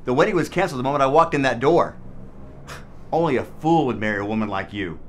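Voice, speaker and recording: A man's voice: mid-range voice, animated, quick pace, a little hiss or hum, natural voice. An American middle-aged man playing a betrayed, angry groom in a dramatic short film. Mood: hurt, bitter. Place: hotel room. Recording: music behind the voice.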